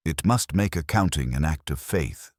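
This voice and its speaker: Understated police inspector, read by a male narrator: very low, close and dry sound, courteous, gently probing, tactful. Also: animated, no room sound. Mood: dryly polite. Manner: measured, tactful, dryly polite.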